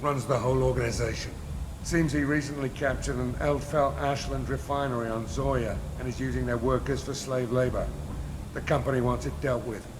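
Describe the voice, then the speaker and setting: An actor playing a tough, cynical veteran bounty hunter in a video game, blunt, rough and cocky. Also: male voice, mid-range voice, steady tone, moderate pace, lightly acted. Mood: matter-of-fact, grim. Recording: noisy game ambience.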